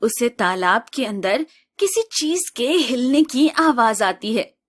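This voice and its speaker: A woman narrating an animated village story in a slow, even storytelling manner. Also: on the high side.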